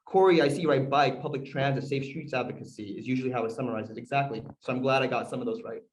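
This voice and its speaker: A man's voice: mid-range voice, quick pace, slight background noise, rough recording. A man whose first language is Korean, a community organizer giving a talk to an audience on a video call, speaking conversationally, asking questions, with frequent fillers. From United States.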